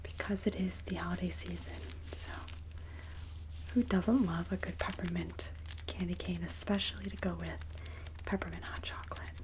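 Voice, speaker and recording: A woman speaking softly and breathily, often near a whisper, in a gentle, polite ASMR roleplay as a kindly Mrs. Claus. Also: fairly low pitch, fairly even intonation, normal speed, poor audio. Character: kindly Mrs. Claus interviewing a job applicant. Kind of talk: soft-spoken ASMR roleplay interview.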